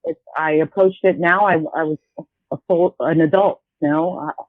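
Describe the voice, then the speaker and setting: A woman's voice: low voice, steady tone, moderate pace, dry recording. Hesitant woman in her fifties on a tinny line. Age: 50s. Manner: rambling, hesitant, many restarts. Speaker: guest. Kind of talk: casual interview.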